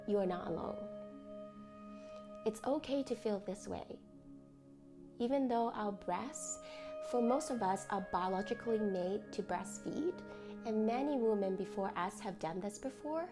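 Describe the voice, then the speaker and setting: A woman leading a guided meditation in a gentle, deliberate, reassuring manner, reading as a host from a script. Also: medium pitch. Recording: music under the voice. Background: music.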